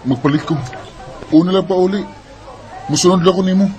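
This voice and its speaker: A man's voice: high-pitched, brisk, a little mellow, close to an everyday voice. A man acting a caring, hardworking elder brother in a Bisaya radio drama, earnest and reassuring. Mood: concerned.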